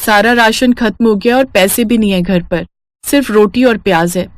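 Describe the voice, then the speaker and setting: A woman's voice: mid-range voice, normal speed, no room sound, clean studio sound, lightly acted. A woman voicing a poor, anxious young wife and mother in a Hindi moral-story cartoon, concerned and pleading.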